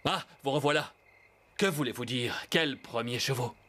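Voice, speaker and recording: A man's voice: mid-range voice, moderate pace, close to an everyday voice. A man voicing a proud, stubbornly independent young horse in a children's cartoon. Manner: defensive, proud. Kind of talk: French dubbed children's cartoon acting. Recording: loud noise behind the voice.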